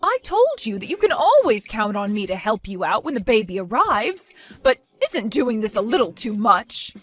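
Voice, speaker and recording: A woman voicing an indignant older woman who scolds and accuses in a lively, high voice. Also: moderate pace, soft background music. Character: furious indignant older woman caring for a baby.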